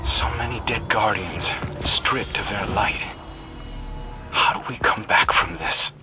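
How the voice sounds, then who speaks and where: A man's voice: fairly low pitch, slow pace. A man playing a troubled, questioning younger warrior with a sombre delivery in a video game cutscene. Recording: loud music covering the dialogue.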